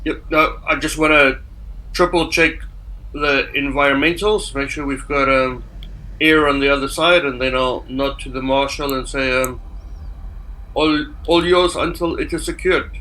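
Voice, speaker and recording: A man playing a tabletop role-playing game, casually describing what his character does in a halting, low-key way. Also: little pitch movement, sharp tone, poor audio.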